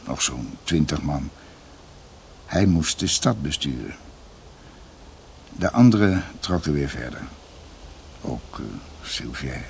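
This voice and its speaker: A man playing a reflective doctor recounting family history in a radio drama, with hesitations and dramatic pauses. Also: extremely low pitch, a little hiss or hum, full character voice.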